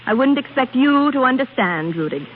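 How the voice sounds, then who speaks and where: Haughty young opera star, temperamental, high voice, old tinny radio recording. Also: female voice, lively intonation, normal speed, slight background noise. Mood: smitten, excited. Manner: haughty, theatrical. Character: vain, temperamental young opera prima donna. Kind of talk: 1930s radio acting.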